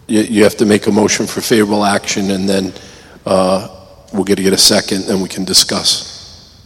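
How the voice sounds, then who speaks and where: A man chairing a council public hearing, hoarse and losing his voice, clearing his throat. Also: fairly low pitch, little pitch movement, moderate pace, a bit bright. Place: council chamber. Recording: echoey chamber, faint noise.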